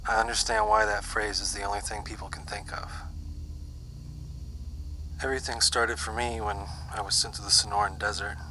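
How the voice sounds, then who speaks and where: An actor playing a haunted young soldier in an audio drama, speaking in a hushed voice that often drops to a whisper. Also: male voice, fairly low pitch, a little hiss or hum, rough recording. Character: young male soldier, haunted, paranoid survivor.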